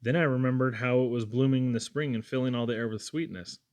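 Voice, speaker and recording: A man's voice: medium pitch, steady tone, fast, a little mellow, lightly acted. A man voicing an earnest young Irish lad who tells of things in a folksy, wonder-struck, wordy way.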